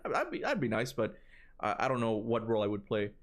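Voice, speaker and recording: A man with a Cuban accent chatting casually on a livestream, rambling and animated, answering chat and swearing now and then. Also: medium pitch, brisk. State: tired voice from long talking. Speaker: gaming streamer answering chat.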